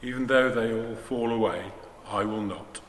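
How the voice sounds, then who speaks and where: Guy playing earnest disciple in a bible drama, lowish, long church reverb, faint noise. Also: normal speed, natural voice. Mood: insistent. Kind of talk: dramatised church scripture reading.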